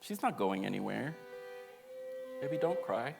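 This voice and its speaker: A man's voice: mid-range voice, very animated, measured pace, gravelly, over music. A young man reading an autobiographical monologue aloud in an earnest, emotive storytelling way. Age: young adult.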